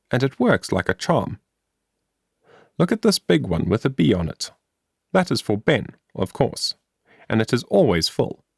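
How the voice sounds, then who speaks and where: A man's voice: mid-range voice, creaky, dry recording, clean studio sound. A man voicing a cheerful, industrious young woman in a storybook, in a chatty, earnest manner. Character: cheerful, industrious young woman explaining her homemade invention.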